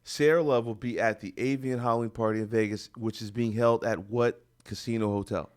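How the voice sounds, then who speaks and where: A man's voice: fairly low pitch, steady tone, fairly quick, clear, resonant tone. A man with an American accent hosting a casual radio interview, joking and talking in loose slang. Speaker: radio talk show host. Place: radio studio.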